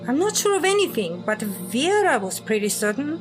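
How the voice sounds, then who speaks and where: High-voiced brash secret agent played by a woman. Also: sing-song, moderate pace, over music, rough recording. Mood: serious. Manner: sardonic, blunt. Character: brash, sharp-tongued female secret agent on the run. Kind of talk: audio drama dialogue.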